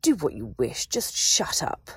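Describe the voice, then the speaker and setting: Female narrator as a bored nasty young wizard guy in an audiobook, low voice, curt and sneering. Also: flat delivery, a little slow, dark tone, no echo, close to an everyday voice. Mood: vicious.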